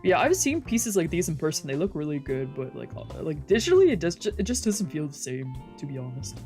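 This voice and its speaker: A woman's voice: low-pitched, sing-song, brisk, mellow. A woman reading a visual novel aloud for a let's play, moving between story narration and casual, slangy asides. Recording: music playing behind the voice.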